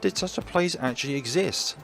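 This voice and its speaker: A man's voice: fairly high pitch, a little fast, creaky, soft background music, pitched above the performer's own voice. A man reading the lines of a bold, well-off young woman in a polite, formal way. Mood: curious.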